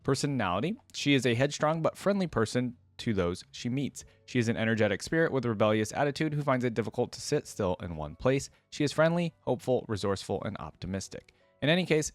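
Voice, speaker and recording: A man's voice: mid-range voice, very animated, fast, dry recording, soft background music. A man hosting a reaction video, commenting casually and thinking aloud in an informal way as he goes.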